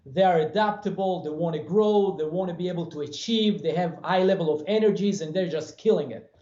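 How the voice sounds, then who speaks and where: An Israeli-accented man in his forties speaks earnestly, with a motivational push, as he answers interview questions. His fairly high, mellow voice comes over a video call from a bare room, sounding echoey and muffled. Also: fairly quick. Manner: earnest, motivational, gestures with hands. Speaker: guest, franchise business owner. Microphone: webcam on video call. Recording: roomy dull video-call audio.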